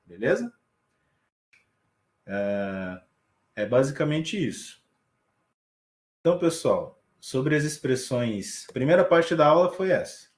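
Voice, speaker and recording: Man, teacher on a video call, relaxed and checks in with students, echoey room. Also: mid-range voice, slow, pauses often. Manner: relaxed explaining with frequent check-ins to students. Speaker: sign language teacher. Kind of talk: online class lecture.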